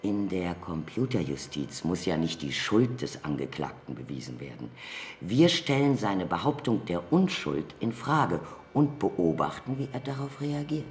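A very low male voice belongs to a cold, methodical justice official. He is clinical and insistent, with a lively melody to his measured speech. Faint noise sits behind him. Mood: clinical, explaining. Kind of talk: German radio drama acting.

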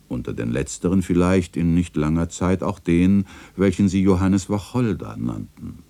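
An actor playing a melancholy old chronicler in a radio drama, narrating in a solemn, lyrical, gravelly manner. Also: male voice, sits low, dark tone, dry recording, heavily acted. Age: older. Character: melancholy old male chronicler looking back on his life.

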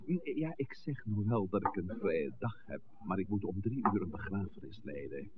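A man acting the part of a courteous, scheming village priest in a 1960s radio play, speaking in a measured, formal way. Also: low-pitched, very animated. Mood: affable. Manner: measured, formal, genteel speech. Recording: muffled, faintly noisy 1960s radio recording.

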